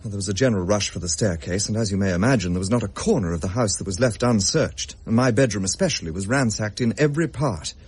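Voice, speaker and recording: An actor playing an English gentleman who recounts a ghostly tale in a measured, old-fashioned audio drama style. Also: lowish voice, slightly rough. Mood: grave, haunted. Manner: measured, genteel, grave.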